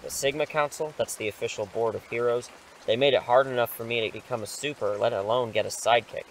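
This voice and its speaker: A man's voice: mid-range voice, fast talker, slight background noise, lightly acted, rough recording. Man playing an eager superhero mentor over rain. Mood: excited, chatty. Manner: eager, reassuring, rambling, sheepish when challenged. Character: impulsive, excitable adult male superhero mentor and schoolteacher. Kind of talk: audio drama acting.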